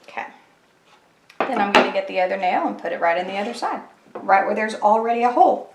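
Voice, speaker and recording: A woman's voice: mid-range voice, lively intonation, fast talker, very noisy. A woman hosting a craft tutorial, chatty and step by step, fighting a cold and losing her voice. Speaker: craft channel host. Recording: echoey room, faint kitchen clatter.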